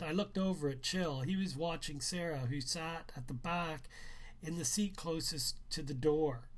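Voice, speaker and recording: A man reading a first-person novel aloud as its author, with deliberate storytelling phrasing. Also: a little high.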